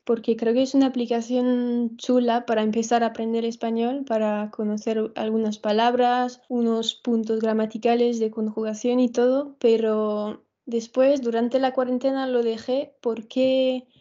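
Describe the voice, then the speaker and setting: Young woman, native french speaker, mellow voice, flat, halting, dull call audio. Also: a little high. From France. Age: 20s. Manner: soft-spoken, halting, with fillers. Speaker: guest, dancer. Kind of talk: conversational interview. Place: small bedroom with photos on the wall.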